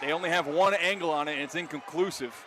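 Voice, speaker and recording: Higher-pitched American football announcer over loud noise. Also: male voice, fast. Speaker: play-by-play announcer. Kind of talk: live American football play-by-play commentary. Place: outdoor stadium. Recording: live stadium broadcast with loud background noise. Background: loud stadium noise.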